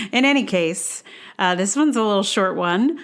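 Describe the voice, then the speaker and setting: A woman hosting a blogcast, reading her personal essay in a reflective, conversational way with wry, self-deprecating asides. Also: mid-range voice. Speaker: blogcast host and writer.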